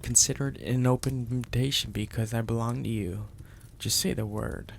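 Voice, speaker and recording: A man playing a possessive, domineering mafia boss in an intimate ASMR roleplay, speaking deliberately and with teasing menace in a gravelly, husky, breathy voice. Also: mid-range voice, steady tone, a little hiss or hum, close to an everyday voice. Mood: seductive, smug. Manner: slow, deliberate, menacing, florid wording.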